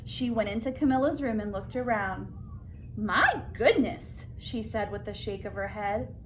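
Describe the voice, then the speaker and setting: A woman's voice: mid-range voice, fairly even intonation, normal speed, darkish tone, low-quality recording. A woman reading a children's storybook aloud expressively, dramatically stressing key words. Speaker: storybook reader. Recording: faint background noise.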